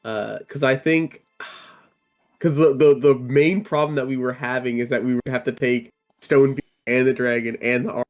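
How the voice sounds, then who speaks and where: A man playing a tabletop game, working through the group's strategy out loud with a lot of hesitation. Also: mid-range voice, fairly even intonation, moderate pace, soft background music. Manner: hesitant, thinks aloud with fillers and restarts. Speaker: player. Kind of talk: casual chat at a tabletop game.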